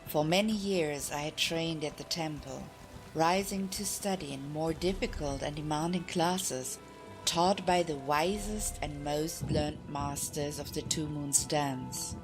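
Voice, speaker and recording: A woman voicing an aged, wrinkled temple master who tells a pupil about the past in formal, measured phrases, in an audiobook. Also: sits low, over music, poor audio. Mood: reminiscing, instructive. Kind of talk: audiobook character reading.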